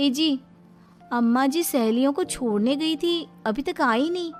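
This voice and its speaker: A woman's voice: high voice, unhurried. Woman voicing a meek, caring young bride. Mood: worried. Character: meek, caring, anxious young daughter-in-law. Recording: music behind the voice.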